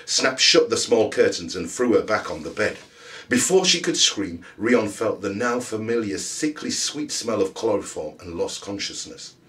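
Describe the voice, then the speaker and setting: A man reading literary fiction aloud in an expressive, dramatic storytelling manner, as an actor would. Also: sits low. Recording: distant microphone.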